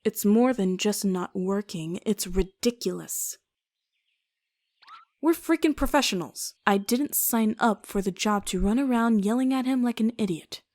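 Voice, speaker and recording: Sardonic, impatient female doctor for game fan dub, woman acting: mid-pitched, dry sarcasm, no room sound. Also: unhurried, a little mellow, no echo, natural voice. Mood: exasperated.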